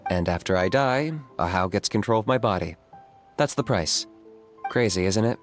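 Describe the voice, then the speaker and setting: An actor playing a cool, pragmatic young warrior in a video game, composed and matter-of-fact. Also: male voice, on the low side, expressive, normal speed, faint music behind, natural voice. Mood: calm, explanatory.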